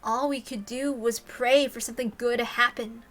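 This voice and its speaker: A woman's voice: on the high side, fairly even intonation, normal speed, fairly bright tone. A woman reading a story aloud expressively, with casual commentary of her own. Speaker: reader and commentator.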